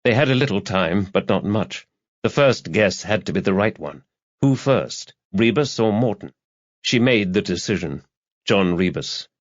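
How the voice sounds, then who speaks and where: A man's voice: on the low side, lively intonation, measured pace, no echo. A man narrating a crime thriller audiobook in a scripted, dramatic storytelling style. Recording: slightly dull, lo-fi audio.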